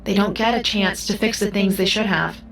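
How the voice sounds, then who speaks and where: A woman playing a gentle, solemn, all-seeing computerized being in an audio drama, speaking in a measured, cryptic way. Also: medium pitch, steady tone, vocal fry, poor audio. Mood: solemn, sad. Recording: music under the voice.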